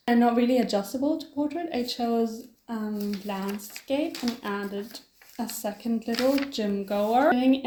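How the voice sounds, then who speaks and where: A woman's voice: fairly high pitch, mellow, reverberant, slight background noise. A young woman with a German accent, a non-native English speaker, casually talking over her art process with a chatty, self-deprecating manner and little laughs. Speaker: artist vlogger.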